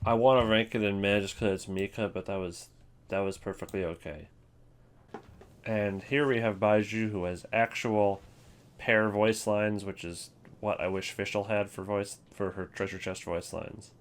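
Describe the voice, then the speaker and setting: A man with a fairly low voice hosts a ranking in a casual way. He thinks aloud with hesitant, informal phrasing as he gives his commentary. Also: steady tone, unhurried. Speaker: host ranking game voice lines. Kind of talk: casual commentary on a tier list.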